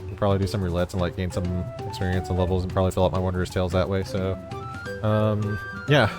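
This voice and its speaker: A man's voice: sits low, little pitch movement, fast talker. A man streaming a video game, reading its dialogue aloud and chatting casually, sometimes stumbling over the words. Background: game music.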